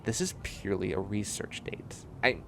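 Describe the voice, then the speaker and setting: Man acting a creaky-voiced, frazzled student. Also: fairly low pitch, expressive, moderate pace, noisy background. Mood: frazzled, wry. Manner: wry, fussy, rambling, with hesitations. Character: tired, prickly, bookish male biotech student in sci-fi future. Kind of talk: scripted comedic audio roleplay, one side of a conversation.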